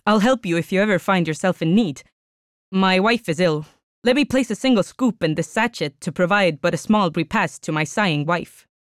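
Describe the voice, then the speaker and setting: A man's voice: high voice, moderate pace, dry recording, clean studio sound, pitched above the performer's own voice, natural voice. A man doing a pleading little stove creature. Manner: wheedling, begging. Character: small male creature under the stove, pleading.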